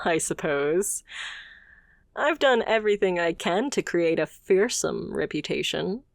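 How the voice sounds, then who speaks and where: A woman's voice: sits low, dry recording, clean studio sound, heavily acted. A woman playing a confident, haughty supervillain boss in a scripted ASMR roleplay, speaking with teasing, condescending poise. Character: confident female supervillain boss, haughty yet playfully amused.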